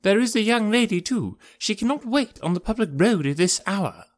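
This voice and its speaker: A man voicing a fussy, plaintive gentleman who pleads in genteel, indignant phrases for an audiobook. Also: sits high, expressive, normal speed, voice pushed up. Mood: pleading. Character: fussy, plaintive, high-voiced gentleman, easily flustered. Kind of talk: audiobook character voice.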